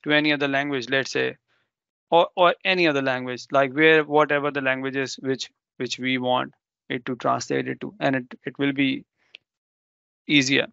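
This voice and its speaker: A man's voice: mid-range voice, little pitch movement, normal speed, creaky, bright, forceful tone, clean tone, dry recording, muffled recording. A man whose first language is Urdu, presenting a live technical demo and explaining step by step with ums and self-corrections.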